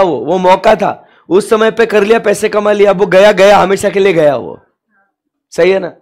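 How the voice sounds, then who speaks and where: A young man teaches with a sore, hoarse throat in a high voice. He talks slowly and leans hard on drawn-out English phrases. He keeps checking in on the class with rhetorical questions. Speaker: commerce lecturer. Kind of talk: classroom lecture, mixing Hindi and English.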